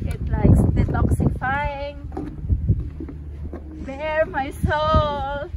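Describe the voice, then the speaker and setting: A woman's voice: high voice, steady tone, drawn-out words. A middle-aged woman chatting casually outdoors for a travel vlog, speaking in short fragments with non-native phrasing. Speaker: vlogger. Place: outdoors on a high open wooden walkway. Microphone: phone held by someone a few steps away. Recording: muffled, distant phone audio with loud wind. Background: loud wind noise.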